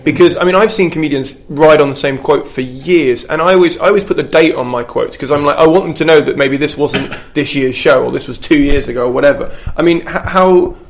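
A man's voice: fairly high pitch, fairly quick, hardly any pauses. A man moderating a panel discussion, asking the guests questions. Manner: questioning, light laughs.

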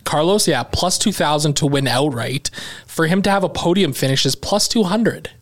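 Male podcast guest, a touch high, relaxed and curious. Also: normal speed. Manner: curious, easygoing, self-deprecating. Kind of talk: casual chat.

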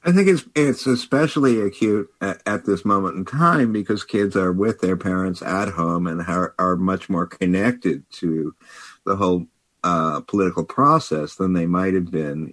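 A man talking as a book editor in a conversational interview, with measured, slightly halting phrasing. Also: deep voice, no echo. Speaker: publisher and editor discussing a book.

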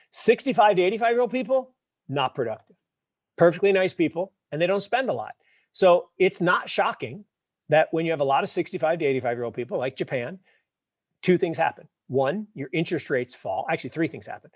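A man in his fifties, a podcast guest, telling wry stories and explaining ideas with emphatic, animated delivery. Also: on the high side, no echo, clean studio sound. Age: 50s. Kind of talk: casual interview talk.

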